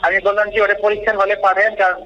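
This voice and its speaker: Fast, high-pitched, defensive Bangladeshi man. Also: flat delivery, bright, forceful tone, distant mic. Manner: defensive, insistent, repetitive. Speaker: head teacher, interviewee by phone. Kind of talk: unscripted interview answers. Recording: muffled phone call, faint noise.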